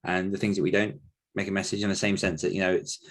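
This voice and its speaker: A man's voice: sits low, flat delivery, slightly rough. A man hosting a podcast discussion, speaking casually in a reflective, careful, diplomatic way. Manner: measured, diplomatic, hedging. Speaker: podcast host.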